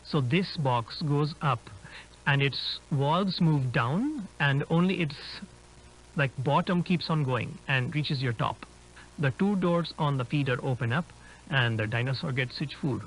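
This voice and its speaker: A man's voice: a little high, animated, a little slow, poor audio. A man playing an inquisitive explorer, explaining things conversationally as he films a found-footage drama. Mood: curious, explanatory. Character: inquisitive male urban-explorer filming a dinosaur park. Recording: humming background noise.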